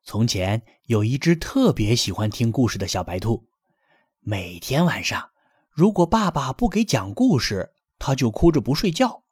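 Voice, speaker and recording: Husky man telling a lively bedtime story. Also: medium pitch, normal speed, no echo, studio quality. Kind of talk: children's bedtime story reading.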